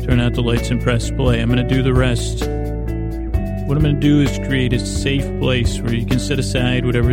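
Creaky-voiced man rambling as podcast host. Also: medium pitch. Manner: meandering, halting, repeats and restarts himself; silly, playful banter with an imaginary guest. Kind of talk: rambling bedtime podcast monologue.

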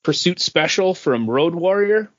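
A man's voice: on the high side, animated, a little slow, a bit bright, dry recording, dull audio. A man co-hosting a podcast, talking casually about pop culture, hesitant and often circling back over his phrases. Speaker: podcast co-host.

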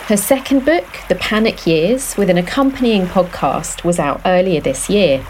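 A woman host reading a scripted introduction in a measured way, then asking interview questions. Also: lowish voice, a little mellow, slight background noise, low-quality recording. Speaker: podcast host.

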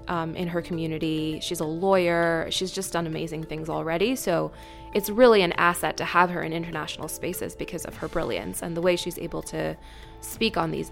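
A woman's voice: on the low side, gravelly. A young American woman from Maryland giving a personal spoken account of her life and advocacy work. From United States, Maryland near Washington DC. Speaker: advocacy program assistant and youth trainer.

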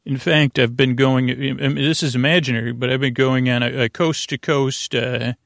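A man with a creaky voice hosting a sleep-aid podcast in a soothing, meandering, hesitant monologue full of tangents. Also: medium pitch, fairly even intonation, moderate pace, fairly bright tone, dry recording, studio quality. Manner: soothing, lulling. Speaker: podcast host.

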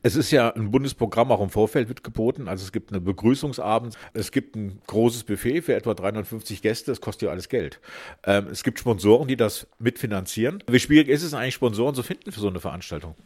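A man's voice: lowish voice, quick pace, a little mellow, slightly rough, no room sound, studio quality. A man reporting from a job fair, asking questions in a casual, chatty way. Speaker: radio reporter. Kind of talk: on-site radio reportage. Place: job fair at a vocational school.

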